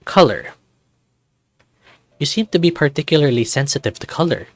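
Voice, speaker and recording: A man's voice: on the high side, lively intonation, normal speed, no room sound, faint music behind. A man voicing a friendly, inquisitive young lifeguard, the hero of a story game. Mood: curious. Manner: chatty, inquisitive.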